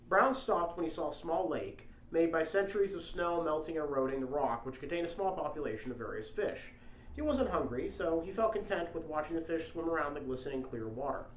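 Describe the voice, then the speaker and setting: A man with a slightly high voice co-hosts a read-along, reading story prose and dropping into casual chat. The small lapel mic picks up strong room echo and a little noise. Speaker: co-host of a read-along show. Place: echoey room. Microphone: small lapel microphone shared by the group.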